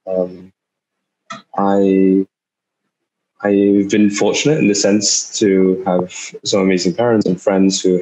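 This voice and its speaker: A young man in his twenties from Singapore, a startup founder giving an online talk, speaking haltingly with many fillers and repeated words. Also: sits low, a little mellow, rough recording. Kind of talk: online talk over a video call. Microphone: video call.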